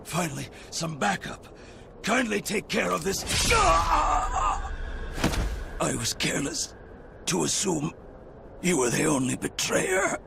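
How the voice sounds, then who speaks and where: Righteous swordmaster for a game cutscene: creaky, grave, animated delivery. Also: male voice, high-pitched, slow pace, pauses often, background noise, heavily acted. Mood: strained, angry. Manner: grave, accusing. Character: stern, righteous swordmaster and spy leader. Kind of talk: video game cutscene acting.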